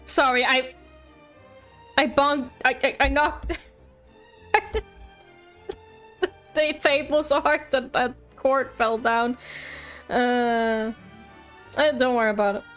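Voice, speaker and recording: High-voiced excitable woman streamer. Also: slow, pauses often. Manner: excitable, playful, joking. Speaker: game streamer. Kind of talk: casual live game commentary. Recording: faint game music behind.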